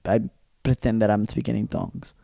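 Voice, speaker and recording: A young man from Brazil co-hosting a comedy podcast, full of animated storytelling and casual swearing. His voice is mellow and dark, recorded close and dry with no room sound. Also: on the low side, fairly quick, gravelly, no echo. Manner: animated, swearing, acts out quotes. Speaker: podcast co-host.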